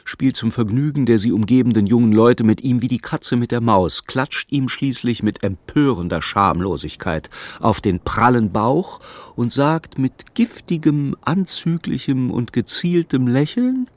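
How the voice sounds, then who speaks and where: A man narrating a literary radio play in a measured, precise, wry storytelling manner. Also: medium pitch, animated, darkish tone, natural voice. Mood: wry, vivid.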